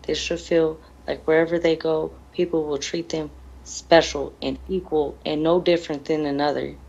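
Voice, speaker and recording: Grieving woman, low muffled voice. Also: level tone, normal speed, creaky, darkish tone, slightly rough. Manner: earnest, emotional, plain-spoken, repeats phrases. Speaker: bereaved mother being interviewed. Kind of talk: heartfelt interview answers.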